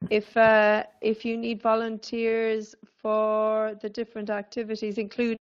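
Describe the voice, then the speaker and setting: A woman chatting in an online meeting as a project facilitator, hesitant and unhurried. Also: fairly high pitch, monotone, clear, resonant tone. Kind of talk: meeting chat. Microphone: video call. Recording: dull lo-fi call audio.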